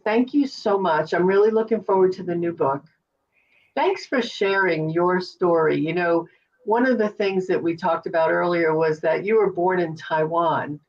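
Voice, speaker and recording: A woman hosting an interview show, speaking in an encouraging way with effusive thanks. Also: lowish voice. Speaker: host. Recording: distant, dull lo-fi sound.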